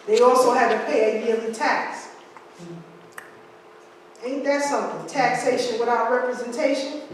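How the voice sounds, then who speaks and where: Woman lecturer, high register, conversational asides; long hall reverb, distant camera mic. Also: a little hiss or hum. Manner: asides and rhetorical questions to audience. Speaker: history speaker. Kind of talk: lecture reading from slides. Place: large room with hard walls. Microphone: far camera. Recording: echoey hall from far off.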